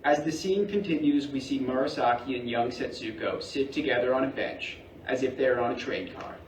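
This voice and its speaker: A man's voice: medium pitch, fairly quick. A man reading scripted stage directions as narrator at a staged play reading in a roomy theatre. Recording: roomy theatre, distant mic, faint noise.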